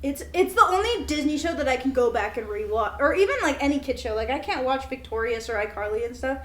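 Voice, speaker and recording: High-pitched, bright young woman chatting casually. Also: expressive, quick pace. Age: young adult. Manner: giggly, informal, frequent swearing. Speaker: podcast co-host. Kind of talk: casual unscripted chat between friends.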